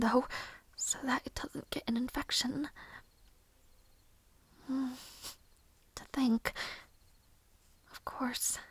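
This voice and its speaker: Casting: gentle, downtrodden young maid for audio roleplay, played by a woman; high, slow, breathy half-whisper, formal phrasing. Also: no echo, exaggerated voice. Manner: slow, soft, formal old-fashioned phrasing, musing to herself. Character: weary, mistreated young maid, a gentle bride-to-be.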